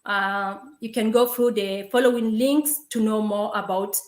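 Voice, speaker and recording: Young woman, first language Sesotho, webinar presenter, halting, repeats herself, video call, echoey room. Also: medium pitch, fairly even intonation, a little mellow. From Lesotho. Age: young adult. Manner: halting, with many fillers and repeated words. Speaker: webinar speaker. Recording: roomy video call audio.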